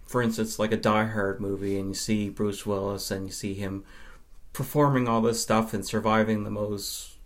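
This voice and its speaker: A man's voice: fairly low pitch, flat delivery, moderate pace. A man from Nova Scotia, Canada, chatting casually as an interview guest and film fan.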